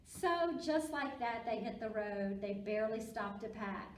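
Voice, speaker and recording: A woman reading aloud to young children at a storytime, with simple, encouraging, expressive delivery. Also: on the high side, a little slow. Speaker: librarian storytime reader. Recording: roomy, distant mic with background noise.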